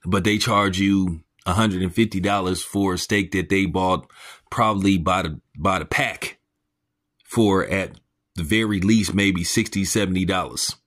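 Host, male, very low voice, blunt mocking rant, crude commentary. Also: unhurried, fairly bright tone. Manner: pitch jumps up when incredulous. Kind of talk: ranting commentary monologue.

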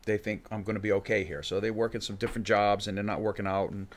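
An older man with a low-pitched voice talks about coaching fighters in a halting, searching way. He restarts his sentences again and again before he lands on a point. He is recorded close on a studio microphone. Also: little pitch movement. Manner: halting, many restarts, acts out fighters' thoughts. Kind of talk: casual interview talk. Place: studio room with bookshelves. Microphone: studio mic on a boom arm.

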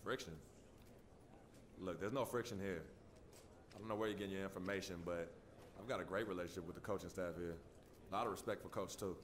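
A man's voice: low-pitched, fairly even intonation, fast talker, long pauses, slight background noise, close to an everyday voice. Man playing a brash young basketball star. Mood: curt, dismissive. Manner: brash, dismissive, slangy. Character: cocky young pro basketball star at press conference. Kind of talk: acted press conference answers for sports game.

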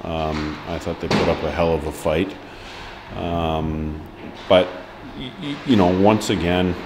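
Deep-voiced man, halting hockey coach. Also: noisy background. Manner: halting, frequent ums and restarts, frank. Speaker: ice hockey head coach. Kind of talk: post-match press interview. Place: indoor press room before a sponsor board.

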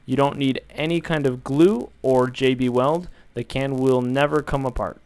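A young man calmly walking viewers through a build, step by step, in an instructional voice-over. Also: mid-range voice, moderate pace, clean tone. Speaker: DIY tutorial maker.

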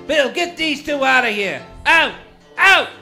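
An actor playing a gruff, hostile, brusque and streetwise barman in a radio play. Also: very high-pitched, animated, unhurried, bright, forceful tone, faint music behind, heavily acted. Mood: contemptuous, barking. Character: gruff, hostile barman who bullies customers.

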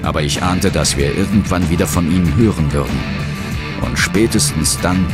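A man playing a serious, brooding ghost hunter who narrates a horror audio drama gravely and dramatically. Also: sits low, normal speed, over loud music. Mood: somber. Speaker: first-person narrator and hero.

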